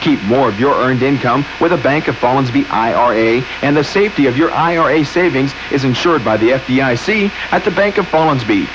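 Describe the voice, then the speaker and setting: A man's voice: medium pitch, expressive, brisk, hardly any pauses. A man reading a scripted 1980s radio commercial as an announcer. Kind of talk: scripted 1980s bank ad read. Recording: dull, noisy old radio broadcast.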